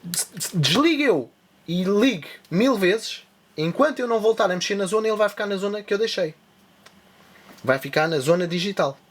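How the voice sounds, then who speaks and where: A man's voice: sits high, expressive, moderate pace. A man with a European Portuguese accent hosting a tech channel, walking viewers through a device setup step by step as he demonstrates it. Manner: step-by-step explaining while demonstrating. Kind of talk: hands-on device tutorial.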